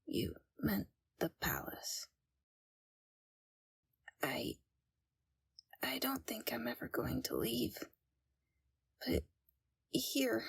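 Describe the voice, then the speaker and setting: A woman playing a timid, frightened young captive in an audio roleplay, speaking in a hesitant, hushed and halting way. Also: on the high side, gravelly, husky, dry recording, heavily acted. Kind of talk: scripted audio roleplay, ASMR.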